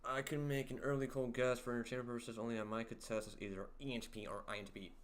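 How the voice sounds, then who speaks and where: A young man hosting a casual livestream, reading chat aloud and joking around, with a deep voice. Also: level tone, quick pace. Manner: playful, jokey, sings snippets and reads chat aloud. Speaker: livestream host.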